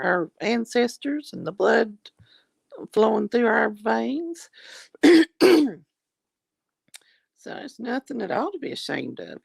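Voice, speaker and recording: Slow, gravelly woman losing her voice. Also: a little high, dry recording. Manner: folksy, calls viewers honey and darlings; religious, often says Lord have mercy. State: losing her voice, clearing her throat. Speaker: vlogger reading viewer comments. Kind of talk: casual live chat.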